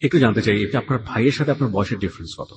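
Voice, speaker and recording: A man's voice: on the low side, racing. A man with a Bangladeshi accent hosting a radio show, talking conversationally with a guest in an echoey, reverberant room. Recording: echoey reverberant room, faint background noise.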